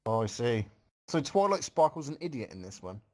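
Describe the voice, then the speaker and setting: A man's voice: mid-range voice, big pitch swings, brisk, a little mellow, slight background noise. Man narrating a story aloud. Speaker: reader of a fan story. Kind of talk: storybook-style narration with casual comments.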